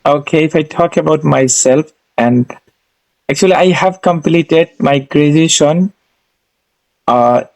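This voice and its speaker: A man's voice: fairly high pitch, a little slow, rough recording. A man with an Indian accent leading a casual English practice conversation as a learner, hesitant and often repeating his phrases.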